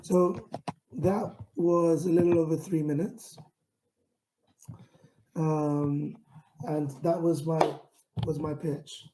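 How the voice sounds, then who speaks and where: Dark-voiced London man, slow and even. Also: fairly high pitch, slight background noise, rough recording. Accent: London English. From England. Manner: self-described monotone, many fillers and restarts. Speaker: founder giving a pitching talk. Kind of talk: online talk to camera.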